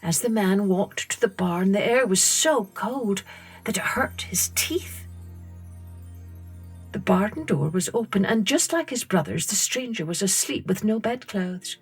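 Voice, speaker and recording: A woman narrating scripted stories for children in a measured, deliberate way with pauses. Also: mid-range voice, animated, husky, soft background music. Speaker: podcast host and storyteller.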